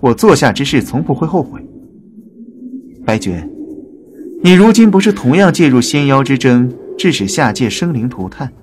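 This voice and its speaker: A man's voice: mid-range voice, very animated, normal speed, pauses often, a little mellow, slight background noise, close to an everyday voice. A man playing a proud, sardonic and ruthless god in a dramatic audio drama, scornful and challenging. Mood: defiant, scornful.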